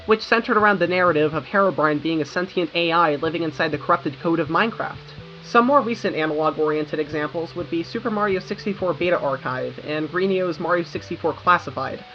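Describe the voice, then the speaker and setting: A young American woman narrates in an informal, wry way, like a creator talking viewers through a video essay. Her audio is slightly dull, with music playing behind her. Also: fairly low pitch. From United States. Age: young adult. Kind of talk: scripted video essay narration with casual asides. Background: background music.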